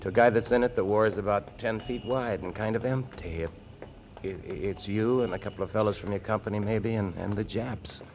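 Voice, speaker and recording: Man acting a low-voiced, halting young soldier, noisy recording. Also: steady tone, darkish tone, natural voice. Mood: haunted, halting. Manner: halting, stammering, hesitant, self-effacing. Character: troubled, shy young soldier home from war. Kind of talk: 1940s radio drama acting. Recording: noisy old radio recording.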